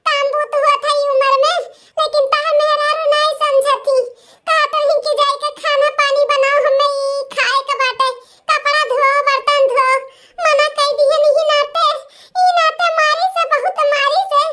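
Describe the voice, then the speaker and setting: Shrill, scheming old Awadhi mother-in-law. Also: little pitch movement, normal speed, pushed and bright. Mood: wailing, complaining. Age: older. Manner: wheedling, complaining. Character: scheming, whining elderly village mother-in-law. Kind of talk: Awadhi comedy cartoon dialogue.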